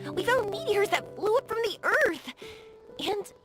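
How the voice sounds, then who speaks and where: A woman playing a bubbly, warm-hearted young woman in an anime-style video game, gushing and earnest in her delivery. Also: extremely high pitch, big pitch swings, measured pace, over music, exaggerated voice. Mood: dreamy, earnest.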